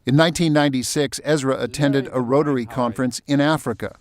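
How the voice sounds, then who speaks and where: A man reading scripted documentary narration in a measured, even tone. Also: mid-range voice.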